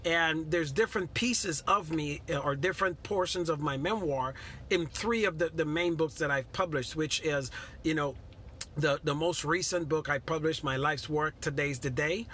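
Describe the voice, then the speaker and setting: A man's voice: a little high, normal speed, fairly bright tone, a little hiss or hum. A middle-aged man from Guyana giving a casual motivational talk on a live stream, earnest and repetitive, greeting viewers by name.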